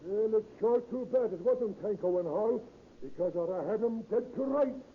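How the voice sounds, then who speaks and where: An actor playing a trigger-happy, boastful folksy posse deputy in a 1930s radio crime drama, with ungrammatical talk. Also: male voice, high-pitched, lively intonation, moderate pace. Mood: eager, boastful. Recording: tinny, noisy old 1930s radio recording.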